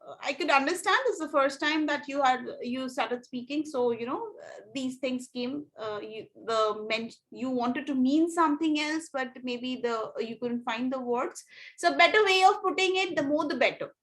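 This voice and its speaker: A woman with an Indian English accent tutoring students in an online English class, giving gentle feedback with many fillers. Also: high voice, moderate pace.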